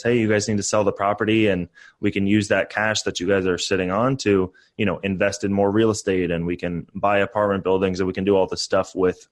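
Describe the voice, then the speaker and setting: Low-voiced young man in casual talk. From born in Canada, raised in the US. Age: 20s. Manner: conversational, upbeat, many fillers. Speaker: guest, real estate investor. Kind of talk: casual podcast interview.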